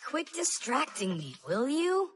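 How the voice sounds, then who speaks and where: A woman's voice: high voice, sing-song, a little slow. A woman voicing an eager, easily exasperated young boy in a children's cartoon dub. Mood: annoyed, exasperated.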